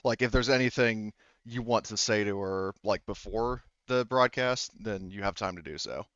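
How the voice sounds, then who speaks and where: A man narrating a tabletop game as game master in a halting, informal, improvised way. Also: lowish voice, a bit bright, clean tone, no room sound, muffled recording. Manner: halting, informal, swears casually.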